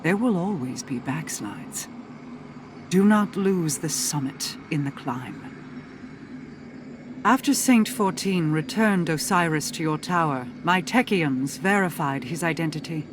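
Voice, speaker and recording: A woman's voice: sits low, lively intonation, measured pace, noisy background. A woman voicing a stern, calculating queen in a video game, speaking in a formal, imperious manner. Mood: composed, solemn.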